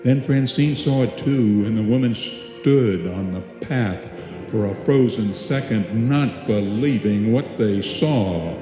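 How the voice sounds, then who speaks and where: A man's voice: on the low side, slow pace. A man narrating an old time radio suspense tale as a solemn, dramatic storyteller. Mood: solemn, ominous. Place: live stage performance in a hall. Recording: echoey live hall, music behind.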